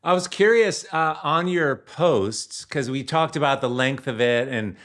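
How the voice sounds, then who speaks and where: A man's voice: mid-range voice, lively intonation, a little slow, a bit bright. A man hosting a casual interview, encouraging his guest and agreeing often, with frequent fillers. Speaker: podcast host.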